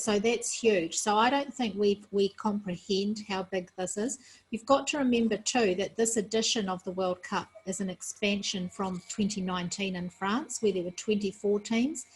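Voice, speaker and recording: A woman from New Zealand, a sports federation president, talking as an interview guest in a measured, earnest way, tired after being up all night. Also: medium pitch, husky, low-quality recording.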